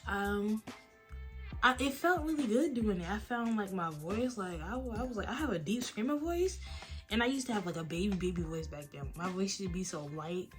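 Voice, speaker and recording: A young adult woman, chatting casually and telling stories in a late-night Q&A at home. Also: on the high side. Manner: chatty, animated storytelling with lots of "like" and "y'all". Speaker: vlogger. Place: at home, face mask on.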